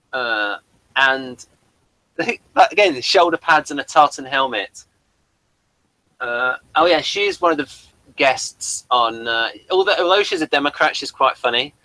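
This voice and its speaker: A man with a very bright, forward voice recorded close and dry, hosting a casual review chat. His delivery is unscripted and rambling, stopping and restarting as he thinks aloud. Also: mid-range voice, normal speed, no echo, poor audio. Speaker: host of a review show.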